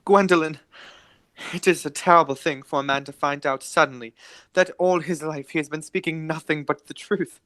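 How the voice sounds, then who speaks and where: A performer playing an earnest, romantic young English gentleman, declaiming in a radio play of a stage comedy. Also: mid-range voice, steady tone, moderate pace, dry recording, close to an everyday voice.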